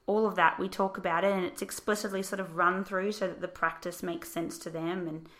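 A woman teacher, speaking thoughtfully and patiently in a casual discussion, her voice a little croaky. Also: lowish voice, little pitch movement, brisk, fairly bright tone.

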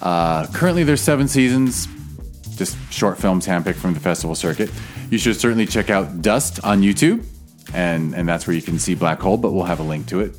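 A man in his late thirties with a low, deep voice hosts a casual podcast chat, candid and jokey with the odd swear word. Soft music plays faintly behind him. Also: animated, fairly quick. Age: late 30s. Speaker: podcast host.

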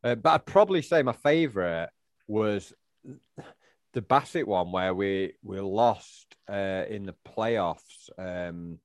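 A middle-aged man, his voice sitting fairly low. He meanders through answers in casual conversation, full of "um"s and false starts. The sound is close and dry, with no room around it. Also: slow, no room sound. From England. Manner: rambling, hesitant with many restarts and fillers. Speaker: podcast co-host. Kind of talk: casual chat.

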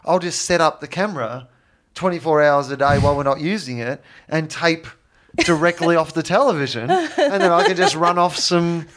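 A man with a slightly high voice tells a comic anecdote in casual chat. He builds up his story with animated asides and hesitant "uh"s along the way.

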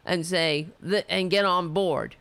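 A woman from the United States hosts her own political show, ranting with fire and stumbling into restarts. Her voice is fading and hoarse, yet it stays smooth and clear. She speaks slowly, in a slightly low register. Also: fairly bright tone, dry recording. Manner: fiery, ranting, restarts mid-sentence. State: losing her voice. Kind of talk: impassioned political monologue.

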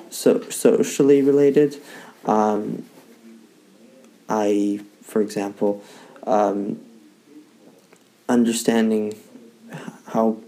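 A man from Victoria, introducing himself in a halting, hesitant way full of fillers and restarts. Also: lowish voice, gravelly, dark tone, rough recording. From Victoria, Canada. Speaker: social media creator for a youth advocacy office. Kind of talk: personal self-introduction.

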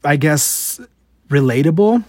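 A man's voice: on the high side, animated, slow pace, darkish tone, no room sound, studio quality. A man in his late twenties talking casually on a podcast, stuttering often, restarting words and swearing. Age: 20s. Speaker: podcast host. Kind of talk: casual confessional monologue.

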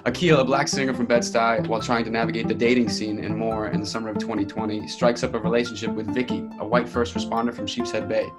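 A man's voice: on the low side, little pitch movement, fast talker, hardly any pauses, over loud music. A man reading a play synopsis and stage directions as scripted narration.

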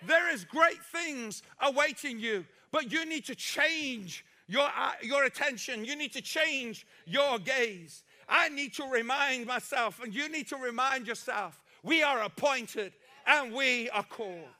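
A man preaching an impassioned sermon with a repetitive, emphatic cadence that rises to shouts. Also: extremely high pitch, a little slow, few pauses.

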